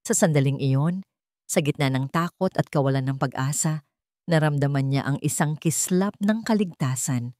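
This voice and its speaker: Female narrator, Filipino accent, lower register, scripted drama storytelling, close dry sound. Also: normal speed, mellow, dry recording.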